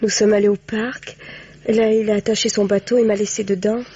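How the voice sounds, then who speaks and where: A woman's voice: medium pitch, level tone, a little fast, mellow, breathy edge, lightly acted. A woman playing a frightened, guilt-ridden young woman in a French radio mystery, hesitant and pleading in a melodramatic old-fashioned style. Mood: subdued confiding. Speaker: actress in a radio mystery play. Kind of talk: 1950s French radio drama acting. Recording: muffled old radio recording.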